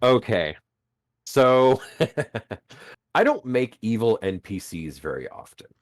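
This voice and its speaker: A man game master chatting casually, animated and laughing often, swearing freely, with a booming voice. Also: takes it slowly, no room sound, clean studio sound. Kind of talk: casual podcast chat.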